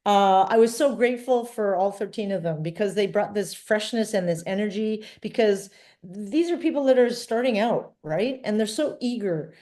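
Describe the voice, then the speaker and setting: Guest voice: woman in her sixties from Trinidad, raised in Vancouver, mid-pitched, animated and chatty. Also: normal speed. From born in Trinidad, grew up in Vancouver. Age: 60s. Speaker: filmmaker guest. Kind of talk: casual interview chat.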